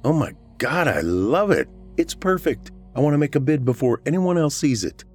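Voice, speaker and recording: A man voicing an excited young woman house hunter chatting on the phone in an audiobook. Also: mid-range voice, normal speed, slightly rough, soft background music, pitched above the performer's own voice. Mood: bright, excited. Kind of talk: audiobook character voice.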